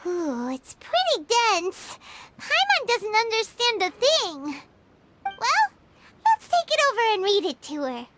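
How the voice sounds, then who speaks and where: A woman voicing a tiny, perky, excitable fairy companion in a video game, with a squeaky childlike voice. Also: slow, heavy background noise, heavily acted. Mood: curious, eager. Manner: refers to self in third person, exclamatory. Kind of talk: video game character acting.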